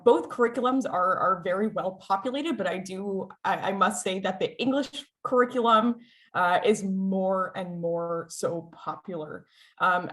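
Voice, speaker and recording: A woman's voice: medium pitch, fairly bright tone. An American woman presenting her school on a video-call webinar, upbeat and chatty, with frequent filler sounds and restarts. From United States.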